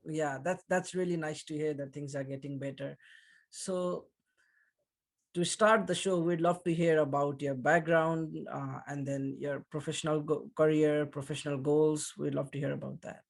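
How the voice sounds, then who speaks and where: A man with a Nepali accent hosting a podcast, reading a measured, earnest introduction and then asking interview questions. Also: on the high side, fairly even intonation, creaky. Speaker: podcast host.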